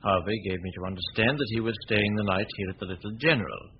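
Actor as composed low-voiced gentleman detective, muffled recording. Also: fast, lightly acted. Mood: calm, explaining. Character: composed, courteous gentleman amateur detective, man. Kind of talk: 1940s radio mystery acting. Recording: noisy, muffled old radio drama recording.

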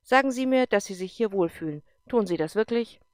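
A woman reading aloud and voicing a strict, commanding female general who talks in clipped, forceful sentences. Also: medium pitch, lively intonation, brisk, dry recording, clean studio sound, natural voice. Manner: clipped, forceful, brooks no argument. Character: stern, commanding female general, middle-aged.

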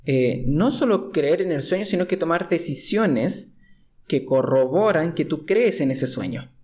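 A man's voice: a little high, expressive, unhurried, a little mellow. A young man from Chile with a Chilean accent, hosting a casual podcast conversation, hesitant and full of restarts. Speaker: podcast host.

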